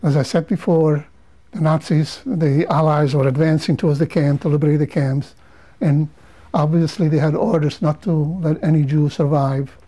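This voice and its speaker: Older man, measured halting testimony. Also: fairly high pitch, steady tone, mellow, low-quality recording. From Romania. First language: Hungarian, Romanian, Yiddish, Hebrew. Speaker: interviewee. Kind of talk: testimony interview.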